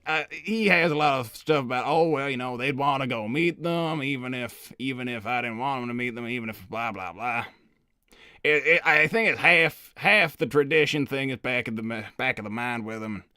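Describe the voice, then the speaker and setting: Flustered older male hedgehog, acted by a man: cartoon interviewee, mid-pitched, bright, rambling and kindly. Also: normal speed, no echo, clean studio sound. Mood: rambling, explaining. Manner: hesitant, rambling, stammering, apologetic. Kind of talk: improvised in-character interview.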